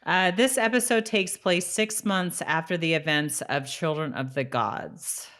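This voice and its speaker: A woman with a low voice speaks as a podcast co-host. Her delivery is informal and offhand, moving between casual chat and reading aloud. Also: measured pace, a bit bright.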